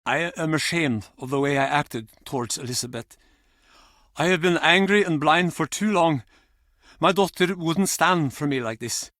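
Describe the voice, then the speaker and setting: A man's voice: on the high side, fairly bright tone, no room sound, studio quality, close to an everyday voice. An actor playing an embittered, grieving old fisherman in a video game, blunt and theatrical in manner. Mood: sorrowful, remorseful. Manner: theatrical, blunt, halting old-salt turns of phrase. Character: gruff, embittered, grieving old Icelandic fisherman and pub regular. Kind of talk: video game character acting.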